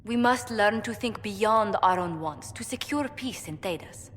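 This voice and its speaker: A woman's voice: medium pitch, fairly bright tone, close to an everyday voice. Woman acting a poised diplomat in a reverberant hall. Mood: poised, explaining. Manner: formal, measured, diplomatic. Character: poised, courteous young female ambassador and diplomat. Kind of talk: video game dialogue acting. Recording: noisy, long hall reverb.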